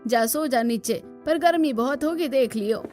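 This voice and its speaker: A woman's voice: sits high, a little slow, darkish tone. Cartoon village matriarch played by a woman: old, earthy, bossy, rustic speech, music underneath. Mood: resigned. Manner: rustic dialect, scolding.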